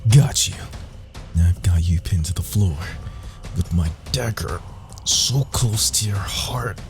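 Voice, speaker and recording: A man playing a sly, cocky rogue assassin in a fantasy audio roleplay, speaking softly and theatrically, often dropping into whispers. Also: slow, faint music behind. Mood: menacing, triumphant. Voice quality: low, gravelly, husky.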